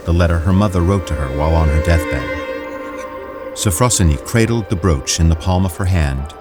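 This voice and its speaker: A man narrating a fantasy audio drama as a solemn, measured storyteller. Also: deep voice, lightly acted. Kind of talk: scripted narration. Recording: loud music under the voice.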